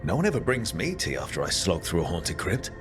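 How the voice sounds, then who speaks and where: An actor playing a wry, easygoing young adventurer hero in a video game, with a casual, dry, teasing manner. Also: male voice, sits low, wildly swooping pitch, a little fast. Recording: music behind the voice.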